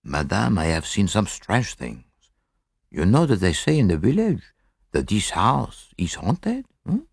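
A man voicing a fussy, self-assured male detective in an audiobook, with a courteous, deliberate, theatrical manner. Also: lowish voice, wildly swooping pitch, creaky, breathy edge, dry recording, studio quality, full character voice. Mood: courteous, grave. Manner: courteous, deliberate, punctuated with questioning hums. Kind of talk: audiobook character voice.

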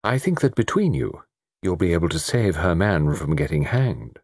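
Man voicing a dreamy, knowing storybook gentleman, lightly husky. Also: low voice, very animated, no room sound, clean studio sound, heavily acted. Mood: gently reassuring. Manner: dreamy, measured explaining. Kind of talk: audiobook character dialogue.

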